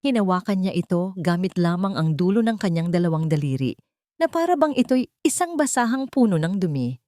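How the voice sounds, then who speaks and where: A woman's voice: on the low side, big pitch swings, brisk, dark tone, no echo, studio quality. A woman reading scripted first-person dramatic fiction as a story narrator.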